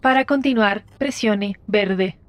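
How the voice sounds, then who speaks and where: A woman voicing a polite, impersonal automated device assistant, giving scripted instructions in a formal, measured way for an audio drama. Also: mid-range voice, lightly acted. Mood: neutral, courteous.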